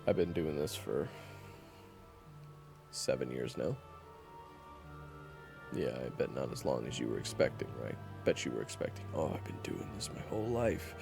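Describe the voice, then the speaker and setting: A man playing a sardonic, guarded monster hunter in a fantasy audio roleplay, with a gravelly voice and a dry, teasing, bluntly dismissive manner. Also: extremely low pitch, lively intonation, moderate pace, long pauses, music in the background, exaggerated voice. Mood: dry, matter-of-fact. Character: sardonic, guarded young male monster hunter, begrudgingly chatty. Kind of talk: fantasy audio roleplay acting, addressed to the listener.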